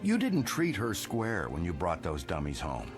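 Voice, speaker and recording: An actor playing a blunt, folksy brother-in-law who probes with suspicion in a mid-century TV drama. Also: male voice, low-pitched, wildly swooping pitch, normal speed. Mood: probing. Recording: vintage TV soundtrack with music.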